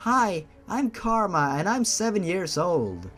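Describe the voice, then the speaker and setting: A man's voice: sits high, big pitch swings, takes it slowly, fairly bright tone, soft background music, pitched above the performer's own voice, exaggerated voice. A man voicing a sweet, eager, trusting seven-year-old boy in a childlike manner for a story. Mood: eager, innocent.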